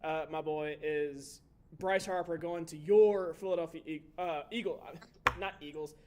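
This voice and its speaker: A man in his twenties co-hosting a sports podcast, relaxed and chatty, asking his partner questions. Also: on the high side, a little slow, background noise. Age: 20s. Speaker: podcast co-host. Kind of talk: casual sports chat.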